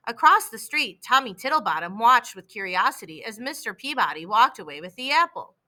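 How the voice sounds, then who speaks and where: Bright-voiced woman reading a children's story. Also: on the high side, sing-song, fairly quick. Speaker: storybook reader. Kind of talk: read-aloud children's story narration.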